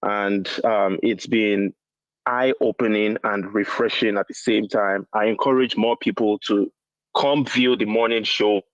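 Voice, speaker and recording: A man's voice: lowish voice, fairly even intonation, unhurried, dry recording. Nigerian man calling in, dull dry line. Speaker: caller to a political show. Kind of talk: casual phone-in commentary. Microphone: phone call. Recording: dull, dry phone-call sound.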